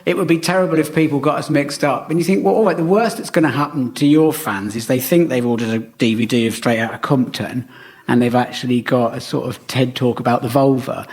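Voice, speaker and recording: A man's voice: medium pitch, steady tone, a little fast, a little mellow. A man trading conversational comic banter as a panellist on a comedy show. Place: radio comedy recording before a live audience. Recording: live comedy show with background noise.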